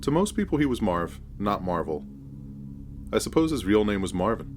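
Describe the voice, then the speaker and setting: A man narrating a scripted first-person horror story in a measured, deliberate storytelling manner. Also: on the low side, very animated, darkish tone.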